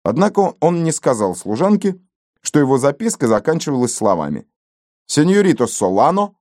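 Lively, mellow male audiobook narrator. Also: mid-range voice, dry recording, clean studio sound. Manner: expressive, measured storytelling.

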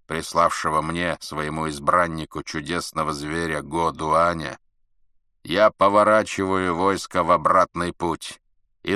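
Man as a commanding, shrewd khan, very low voice. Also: pushed and bright, no echo, studio quality, heavily acted. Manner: measured, deliberate, authoritative. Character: shrewd, commanding Mongol khan, calm and skeptical.

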